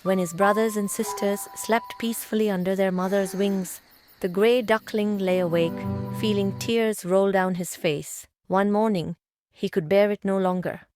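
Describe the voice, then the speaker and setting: A woman's voice: medium pitch, fairly even intonation, moderate pace, over music. A woman storyteller reading a scripted children's tale.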